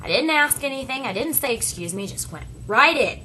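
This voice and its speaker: Bright-voiced woman telling a personal story. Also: high voice, expressive, fairly quick, a little hiss or hum. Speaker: interpreter voicing a signed personal story.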